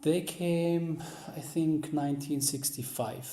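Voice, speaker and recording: A man, recounting family history as an interview guest in halting English, searching for words. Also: fairly high pitch, steady tone, warm tone. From Lebanon. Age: 40s. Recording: roomy, echoey sound.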